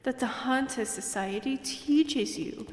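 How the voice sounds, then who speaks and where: A woman acts out an imperious vampire countess who toys with her prey in an audio drama. Her higher voice takes its time over every taunt, echoing as if in a great hall. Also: a little hiss or hum. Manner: slow, deliberate, taunting. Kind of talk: dramatic audio roleplay acting. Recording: echoey hall reverb with music underneath.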